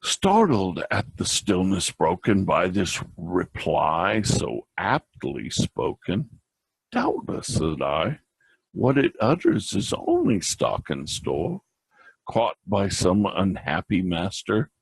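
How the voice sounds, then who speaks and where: A man giving a dramatic recitation of a poem, theatrical and halting, with occasional stumbles. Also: sits low, huge pitch swings, creaky, breathy edge, no room sound, low-quality recording. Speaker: reader.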